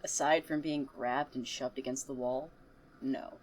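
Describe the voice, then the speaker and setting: Woman acting a low-voiced, smug, taunting student. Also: steady tone, a little slow, a little mellow. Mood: sly, cryptic. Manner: mocking, theatrical, needling. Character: taunting, smug, cryptic student who claims visions. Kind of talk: audio drama acting.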